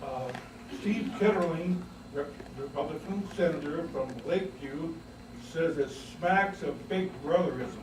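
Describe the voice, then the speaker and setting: A man's voice: on the high side, unhurried. A man in his sixties asking a follow-up question at a public forum, blunt and grumbling with a joking edge. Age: 60s. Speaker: audience member. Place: packed public library meeting room. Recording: echoey room from far off, noisy.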